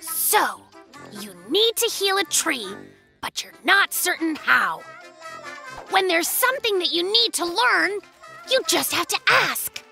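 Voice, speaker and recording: A cocky, excitable little polar bear from a kids' cartoon, with an extremely high voice that swings up and down. The delivery is boastful and slangy, with faint music behind it. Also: slow pace, gravelly, full character voice. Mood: helpful, confident. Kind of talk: children's cartoon dub acting.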